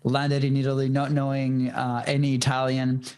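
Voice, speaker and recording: A man's voice: mid-range voice, completely flat delivery, moderate pace, a little mellow, clean tone. A man in his thirties from Venezuela with a Venezuelan Spanish accent, a native Spanish speaker, telling his life story as a podcast guest in a conversational way with many fillers and restarts. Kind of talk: casual storytelling interview.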